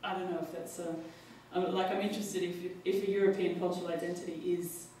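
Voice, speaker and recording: An Australian woman speaking at a panel discussion, halting often with fillers and restarts. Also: on the low side, little pitch movement, dark tone. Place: large public hall. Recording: echoey hall, distant mic, faint noise.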